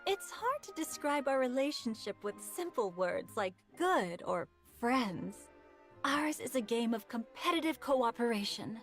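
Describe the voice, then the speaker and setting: A woman voicing a poised, enigmatic game character who schemes, speaking in a measured, cool, knowing way. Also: a little high, big pitch swings. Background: faint game music.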